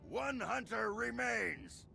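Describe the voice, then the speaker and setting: A man's voice: extremely high pitch, animated, takes it slowly, vocal fry, over music, full character voice. A man playing a commanding, theatrical master of a deadly hunt in a video game, announcing ceremoniously.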